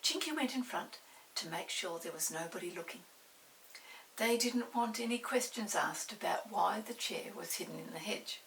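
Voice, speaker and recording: A woman's voice: on the low side, gravelly, a bit bright. An older woman narrating a children's story expressively, with dramatic touches. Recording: distant mic with hiss.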